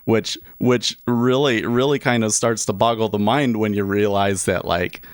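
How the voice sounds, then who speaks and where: Rambling thirties man, low and dry. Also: no room sound. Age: 30s. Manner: rambling, with many restarts and asides. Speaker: livestream host, day trader. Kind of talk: casual livestream commentary.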